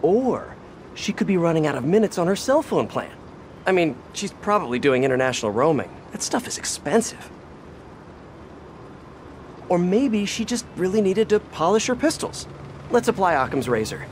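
An actor playing a young, upbeat, self-deprecating superhero who chats and quips in a video game. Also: male voice, high voice, sing-song, moderate pace, slight background noise. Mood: musing, exasperated. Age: young adult. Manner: chatty, quippy.

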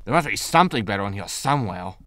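A man playing a greedy, hapless spaceship scavenger who mutters excitably to himself in a sci-fi comedy audio drama. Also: lowish voice, fast talker, heavy background noise, natural voice. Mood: frustrated. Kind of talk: science fiction comedy audio drama acting.

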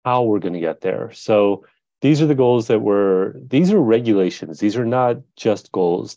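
Man, low mellow voice, dry muffled call audio, measured panel talk with fillers. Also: no echo. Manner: measured, many fillers and pauses. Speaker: panelist, climate team leader. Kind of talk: presenting slides on a panel.

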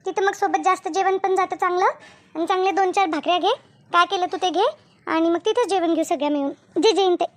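A woman's voice: very high voice, fast talker. A woman voicing a chatty village woman in a comic cartoon, with a rural Maharashtrian Marathi accent and colloquial, repetitive talk. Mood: brisk, friendly. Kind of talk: animated comedy dialogue.

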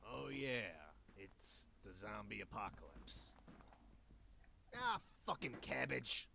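A man's voice: mid-range voice, sing-song, slow pace, creaky, bright, forceful tone, slight background noise, exaggerated voice. A man voicing a grumpy, foul-mouthed, bossy rabbit in his sixties for a cartoon adventure game. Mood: dry, bossy. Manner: sweary, bossy, slangy. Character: grumpy foul-mouthed 63-year-old cartoon rabbit, scheming salesman, male. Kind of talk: voiced game dialogue.

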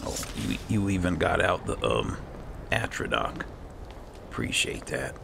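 A man talking in character in an unhurried, muttering way as he plays through a fantasy video game. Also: deep voice, sing-song. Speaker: gamer playing in character. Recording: clearly noisy, game ambience behind.

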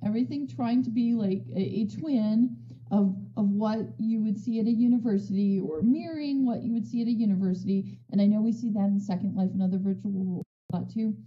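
A woman speaking on a conference panel in a casual, excitable way, restarting her sentences often and laughing. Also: mid-range voice, a little fast, dull audio. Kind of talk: conversational panel talk. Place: virtual world session via voice chat.

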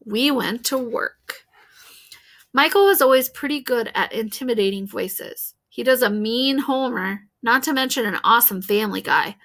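Bright, high-voiced woman reading aloud. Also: moderate pace. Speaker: read-aloud reader. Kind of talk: expressive children's book read-aloud.

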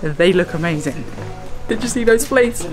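A woman's voice: lowish voice, expressive, normal speed. A young woman vlogging a trip to a handheld camera, bubbly and gushing. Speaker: travel vlogger. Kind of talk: casual travel vlog to camera. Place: on the move, trains, restaurants and outdoors. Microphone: handheld camera at arm's length. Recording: background music under voice.

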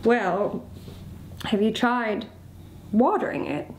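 A woman's voice: a little high, takes it slowly, a little mellow, slight background noise, low-quality recording. Woman playing a helpful, earnest police officer mouse. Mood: gentle, helpful.

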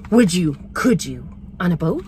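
A woman's voice: on the low side, very animated, measured pace, warm tone, slight background noise, voice pushed down. A woman voicing a persistent male storybook food pusher with coaxing, sing-song rhymed questions. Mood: eager coaxing. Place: inside a parked vehicle.

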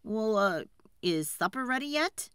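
A meek storybook woodcutter, acted out by a woman reader, very animated and bright. He is apologetic and pleading, stumbling a little over his words. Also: fairly high pitch, a little slow, clear, resonant tone, no echo, clean studio sound, pitched above the performer's own voice. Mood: hungry, hesitant. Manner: hesitant, stammering, pleading. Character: meek, apologetic, hungry male woodcutter in a folk tale. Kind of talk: storybook character voice.

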